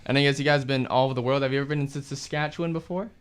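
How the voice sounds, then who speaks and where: Man, radio host, brisk pace, mid-range pitch, casual interview style, studio. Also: steady tone, clear, resonant tone. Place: small radio studio, indoors.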